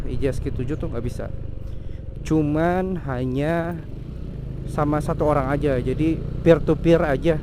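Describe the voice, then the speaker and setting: A young man giving a casual, slangy product review outdoors, with lots of fillers and restarts. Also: a little high. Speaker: vlogger reviewing a motorcycle intercom. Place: outdoors by a road. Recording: outdoor traffic noise. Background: traffic noise.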